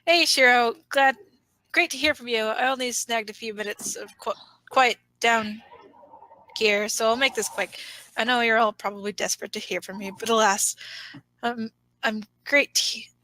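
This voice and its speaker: A woman's voice: fairly high pitch, normal speed, pushed and bright, no echo, low-quality recording. A woman voicing a cocky, playful young man with a theatrical, showy delivery in an amateur dramatic reading. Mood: showy, flustered. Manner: theatrical, showy, self-important.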